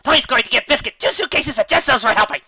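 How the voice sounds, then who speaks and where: A woman doing an excitable little dog. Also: high-pitched, fast, slightly rough, no room sound, lo-fi sound, soft background music, voice pushed up, full character voice. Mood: excited. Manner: broken grammar, shouting. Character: excitable small male dog speaking in third person.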